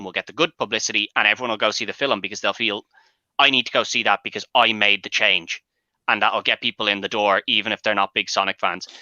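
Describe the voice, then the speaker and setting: A man's voice: lowish voice, sharp tone, no echo, highs cut off. A man debating films casually on a show, making emphatic, argumentative points with some swearing. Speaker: panelist and host of a movie debate show.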